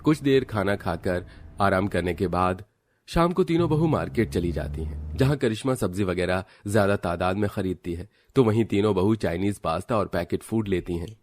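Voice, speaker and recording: A man narrating a cartoon story in a steady, explanatory way. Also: sits low, normal speed, slight background noise.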